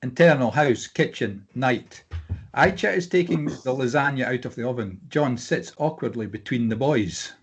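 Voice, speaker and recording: A man's voice: medium pitch, animated, normal speed, husky. A man reading out screenplay stage directions as scripted narration for a drama table read.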